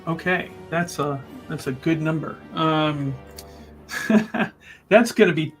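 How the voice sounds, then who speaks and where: A man's voice: a little high, takes it slowly, a bit bright. A man playing a tabletop roleplaying game, talking casually as one of the players. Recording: music behind the voice. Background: music.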